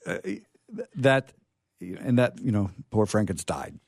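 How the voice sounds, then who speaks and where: Male host, lowish mellow voice, thoughtful and halting, chatting about literature in a dry recording. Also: animated, no echo. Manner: hesitant, thoughtful, emphatic repetition. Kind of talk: informal literary discussion.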